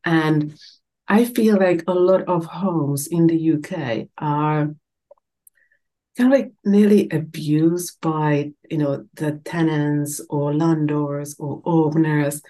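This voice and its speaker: Low-voiced Czech woman hosting a podcast. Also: fairly even intonation, slow pace, warm tone. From Czech Republic. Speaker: podcast host. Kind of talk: casual conversational interview.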